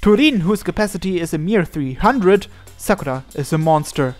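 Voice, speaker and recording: A man's voice: sits high, animated, normal speed, soft background music. A man narrating a visual novel aloud as host, reading the scripted text.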